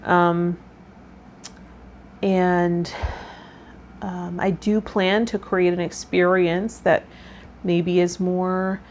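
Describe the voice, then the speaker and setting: A woman's voice: lowish voice, flat delivery, drawn-out words, long pauses. A woman hosting a personal vlog, talking casually in a rambling, self-effacing way. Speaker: host of a tarot vlog. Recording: clear background noise.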